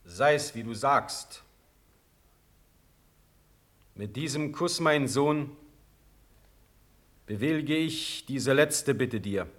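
An actor playing a stern but magnanimous middle-aged ruler in a classical verse radio drama, speaking with solemn, measured authority. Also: male voice, medium pitch, fairly bright tone. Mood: grave, gracious. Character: stern, magnanimous ruling prince, measured and commanding.

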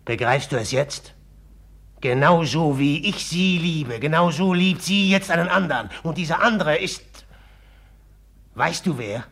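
A jealous young merchant in a 1950s radio drama. The voice is gravelly and husky, the delivery slow and heavy, and it flares into agitated passion. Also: male voice, a little high, a bit bright.

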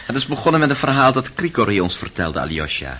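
An actor playing an intense, irritable grown son in a radio drama. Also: male voice, mid-range voice, very animated, fast, bright, forceful tone, slight background noise. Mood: recounting.

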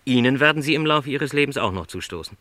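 A man's voice: mid-range voice, lively intonation, quick pace, pushed and bright, no echo. An actor playing a lonely, dreamy middle-aged travelling salesman in a radio drama, speaking in reflective, rhapsodic literary phrases. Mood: elated, rapt.

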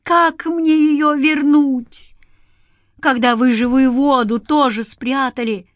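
A woman's voice: high-pitched, steady tone, measured pace, no room sound, clean studio sound, pitched above the performer's own voice. A woman voicing a grieving, defiant young village man in a storybook, with an anguished, emotional delivery. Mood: bitter. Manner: emotional, breaking from whisper into shouting.

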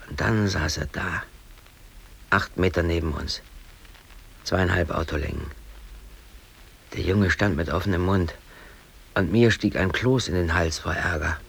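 A man's voice: low voice, vocal fry, breathy edge, exaggerated voice. An actor playing an aggrieved East German worker and amateur photographer in his late thirties, delivering a rambling, brooding radio drama monologue. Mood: brooding, reminiscing. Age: late 30s. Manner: brooding, rambling, swinging between muttering and outbursts.

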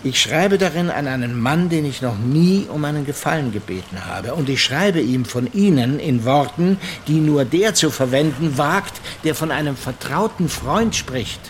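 An actor playing a grave, worldly man in a German radio play, a concerned older friend with a deliberate, literary way of speaking. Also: a little high, expressive, a little hiss or hum, natural voice. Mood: insistent, exasperated. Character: grave, worldly male narrator looking back on a troubled friend. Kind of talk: German radio drama narration and dialogue.

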